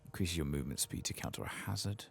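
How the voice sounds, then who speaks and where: Very deep, creaky soft-spoken man. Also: lively intonation, fast talker. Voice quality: gravelly. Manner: muttered asides and reading game text aloud. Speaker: gaming streamer. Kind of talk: soft-spoken ASMR game playthrough. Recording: faint game ambience behind.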